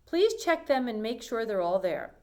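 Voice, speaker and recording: A woman's voice: on the high side, big pitch swings, moderate pace, mellow. A woman voicing a busy, polite lady of the house who gives instructions in a children's storybook. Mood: instructing. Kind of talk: children's storybook character reading.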